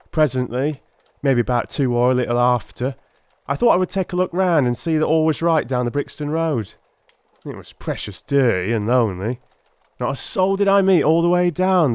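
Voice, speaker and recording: A man's voice: mid-range voice, darkish tone, no room sound, pitched above the performer's own voice, lightly acted. A man voicing a working-class Victorian police constable in an audiobook drama, telling things in a rambling, colloquial way. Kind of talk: dramatised audiobook character acting.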